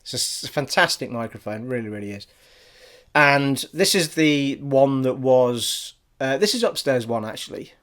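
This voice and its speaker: A man's voice: mid-range voice, lively intonation, normal speed, a bit bright. A man from Scotland with a generic English accent, rambling cheerfully through a casual gaming vlog from his living room. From Scottish, raised abroad in army schools. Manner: chatty and rambling, says "people" a lot. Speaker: gaming vlogger. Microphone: studio USB microphone on an arm.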